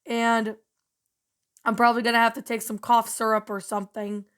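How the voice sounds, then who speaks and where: A hoarse woman with a cold, hosting her channel and giving a rambling, casual spoken review of a story. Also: on the high side, little pitch movement, moderate pace, a bit bright. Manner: rambling, many fillers and restarts, upbeat.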